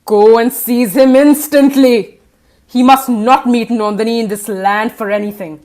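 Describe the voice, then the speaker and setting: Woman doing a bossy indignant governor in an audio play, slightly high voice, gives orders. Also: steady tone, measured pace, darkish tone, natural voice.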